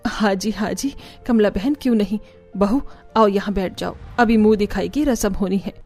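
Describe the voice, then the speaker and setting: A woman's voice: medium pitch, moderate pace, warm tone, soft background music, pitched below the performer's own voice, lightly acted. A woman voicing a bossy, quarrelsome mother-in-law in a cartoon story. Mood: welcoming.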